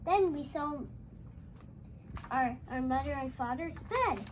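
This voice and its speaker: A child host retelling a storybook aloud, halting and repeating phrases, with exclaimed dialogue. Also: sits high, lively intonation, a little hiss or hum.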